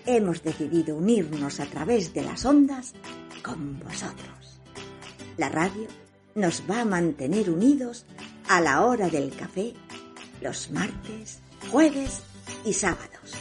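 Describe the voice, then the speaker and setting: Woman radio host, spain accent, slow inviting scripted intro, clear music behind. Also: fairly low pitch. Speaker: radio programme presenter.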